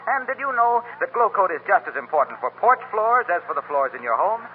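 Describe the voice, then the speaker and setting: A man's voice: sits high, sing-song, brisk. A man announcing a 1930s radio commercial in a polished, salesmanlike manner. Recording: tinny 1930s radio broadcast, faint noise.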